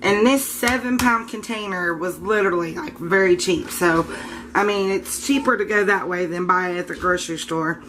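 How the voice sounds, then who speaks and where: A woman's voice: lowish voice, normal speed, fairly bright tone, a little hiss or hum. Congested woman chatting casually. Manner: chatty, informal, a bit unsure, self-correcting. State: congested, scratchy voice. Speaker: home soap maker. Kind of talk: casual show-and-tell vlog. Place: home kitchen.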